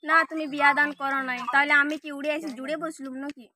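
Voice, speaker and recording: A woman with a sharp, high voice talks quickly on a prank call. She scolds and presses her point in a casual, insistent way. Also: level tone. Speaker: prank caller posing as the wife of the person called. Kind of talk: prank phone call, casual spoken Bengali.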